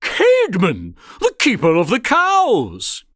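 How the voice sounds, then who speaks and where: A man voicing hearty medieval woodmen in a storybook, calling out loud and jolly. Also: sits high, huge pitch swings, takes it slowly, no room sound, clean studio sound, voice pushed up, heavily acted. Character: hearty, boisterous medieval woodman and kitchen men.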